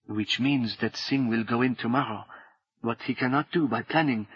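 A man reading an audiobook, voicing a quiet, formal French woman who brings urgent news. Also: medium pitch, moderate pace, slightly rough, no echo, highs cut off, natural voice. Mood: grave, urgent. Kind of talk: audiobook character voice.

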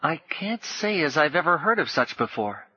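A man's voice: big pitch swings, moderate pace, bright, forceful tone, breathy edge, lo-fi sound, full character voice. A man voicing a scrappy young boy who talks in cocky slang, bold but frightened. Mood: thoughtful. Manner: high, cocky slang-tinged talk.

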